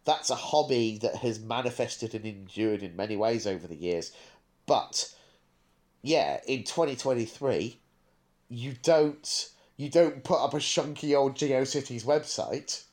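A man's voice: medium pitch, measured pace, darkish tone. A man hosting a podcast in casual review chat, thinking aloud in a discursive way. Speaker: podcast host.

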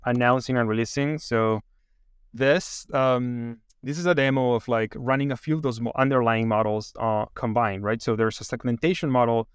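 A man from Chile, in his thirties, talks through a software demo and his career in a loose, halting way, full of "like" and "um". His voice is smooth and clear, recorded close and dry with almost no room sound. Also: medium pitch, fairly even intonation, no echo. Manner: halting, many fillers and restarts. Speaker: AI company founder giving a demo. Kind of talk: casual interview.

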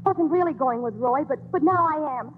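A woman's voice: high voice, normal speed, slight background noise, lightly acted. An actress playing a spirited young female stage dancer in a 1940s radio play. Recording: muffled old radio broadcast with music.

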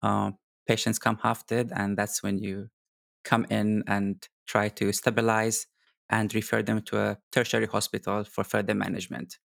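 A man who grew up in Kuwait, speaking as an interview guest. His voice sits low with a gravelly edge, and his English comes earnest and careful with small restarts. The sound is dry, with no room around it. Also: flat delivery, normal speed, a bit bright, no echo. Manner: earnest, with occasional restarts in a non-native English. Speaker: guest doctor. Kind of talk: casual interview talk.

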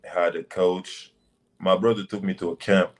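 A young man from Senegal, a basketball prospect, answering interview questions in loose, relaxed phrasing over a video call. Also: low-pitched, normal speed. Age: young adult. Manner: relaxed, loose phrasing with many "like" and "you know".

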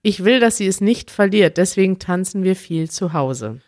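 A chatty woman co-hosting a podcast, talking in an easy, casual way. Her voice sits at a middle pitch, and she often breaks into laughter. The recording is close and dry. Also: lively intonation, normal speed, darkish tone, dry recording. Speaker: podcast co-host. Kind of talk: casual chat.

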